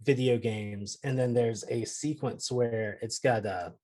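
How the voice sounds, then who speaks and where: A man co-hosts a casual chat. His voice is middle in pitch with a husky, unclear grain. He talks in an easygoing, reflective way. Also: a little slow, darkish tone. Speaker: co-host of a gaming chat show.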